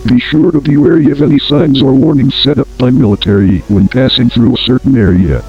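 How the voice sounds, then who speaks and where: A man playing a grave announcer on an emergency survival tape in an analog horror drama, formal, measured and deadpan. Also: mid-range voice, lightly acted. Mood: grave, detached. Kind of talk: scripted instructional tape narration, analog horror. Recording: dull lo-fi old tape, music behind.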